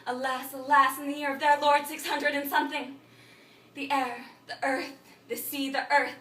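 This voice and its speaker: Woman acting a high, frantic babbling thinker, echoey. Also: flat delivery, normal speed. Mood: anguished, incantatory. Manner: incantatory, repetitive, swinging from muttering to shouting. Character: frantic, babbling thinker reciting a broken learned tirade. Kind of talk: absurdist stage monologue rehearsal. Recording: echoey room, distant microphone.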